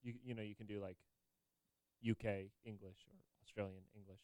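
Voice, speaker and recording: A man's voice: lowish voice, level tone, fairly quick, a little mellow, dry recording, rough recording. A man co-hosting a casual podcast, asking curious follow-up questions. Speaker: podcast co-host. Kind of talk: casual chat.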